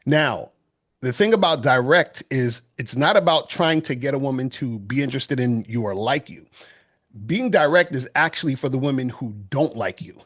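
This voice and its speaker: A man lays out his argument in an online debate at a mid pitch. He explains step by step and keeps checking that his listener follows him. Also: expressive, normal speed, fairly bright tone. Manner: explanatory, keeps checking "you see what I'm saying". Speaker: dating coach.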